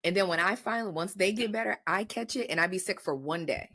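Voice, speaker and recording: A woman's voice: mid-range voice, quick pace, a bit bright. A woman co-hosting a podcast in casual chat, talking bluntly and swearing freely. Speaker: podcast co-host; mother.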